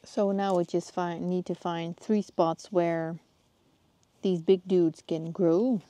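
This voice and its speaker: A Dutch woman with a slightly low, mellow voice chats her way through a garden vlog. She talks slowly and stops to hunt for words as she thinks aloud. Her phone picks her up outdoors in the garden. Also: steady tone, no room sound. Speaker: gardening vlogger.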